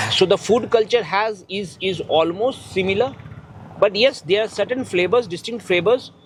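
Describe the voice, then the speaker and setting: Man, Indian accent, high voice, chef being interviewed, repetitive phrasing, faint background noise. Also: moderate pace, poor audio. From India, Bihar. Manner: repeats words and phrases as he goes. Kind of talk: unscripted interview talk mixing English and Hindi.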